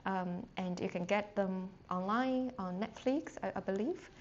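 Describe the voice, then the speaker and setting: A woman's voice: mid-range voice, a little slow. A woman whose first language is Chinese, teaching a beginner language lesson live and chatting with her viewers, full of fillers and restarts. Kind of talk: live-streamed casual lesson with chat questions. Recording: slightly dull sound with faint noise.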